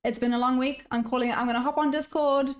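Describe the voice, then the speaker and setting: A woman's voice: high voice, fairly even intonation, quick pace. A woman live-streaming her day trading, chatting casually and answering viewer questions as she goes. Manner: upbeat sign-off, friendly to viewers.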